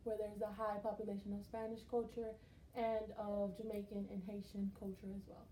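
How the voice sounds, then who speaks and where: A young woman from Texas talks through her experiences in a chatty, animated way. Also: medium pitch, normal speed. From Texas, United States. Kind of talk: conversational class presentation. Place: skills lab room. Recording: roomy echo, faint noise.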